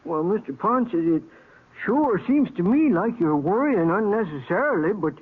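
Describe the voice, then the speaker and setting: High, husky, fussy little clerk. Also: male voice, very animated, normal speed, exaggerated voice. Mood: reluctant. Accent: American. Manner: flustered, dithering, stammering. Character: fussy, meek, timid small-town bank clerk. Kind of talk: 1950s radio western acting. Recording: old narrow-band radio recording.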